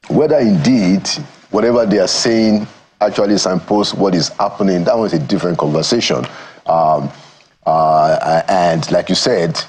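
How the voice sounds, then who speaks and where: A Nigerian man answering as a guest in a TV studio interview, speaking in a measured, thoughtful way and pausing to find his words. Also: low-pitched, a little mellow, slight background noise. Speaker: studio guest, former government aide. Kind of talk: morning TV interview.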